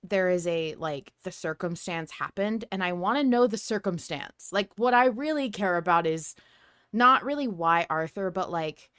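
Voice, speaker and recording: A woman with a clear, resonant voice on the lower side hosts a casual fan discussion. She thinks out loud with informal emphasis. The sound is close and dry, with very little room in it. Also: animated, moderate pace, no room sound. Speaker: podcast host.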